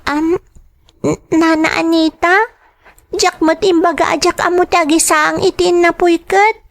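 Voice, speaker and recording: A woman's voice: very high-pitched, little pitch movement, unhurried. A woman playing a timid, grieving young daughter in an Ilocano audio drama, with a hesitant delivery. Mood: timid, nervous.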